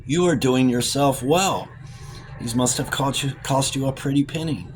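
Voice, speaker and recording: A man's voice: mid-range voice, normal speed, voice pushed up, close to an everyday voice. Plucky broke young woman in an audiobook guy narrator doing her, chatty, wry, bit of noise. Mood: playful, curious.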